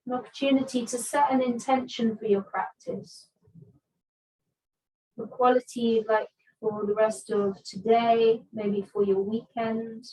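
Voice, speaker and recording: A woman with a fairly high voice leads a restorative yoga class. She speaks very slowly and soothingly, leaving long, calm pauses between her gentle instructions. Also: low-quality recording. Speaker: yoga teacher.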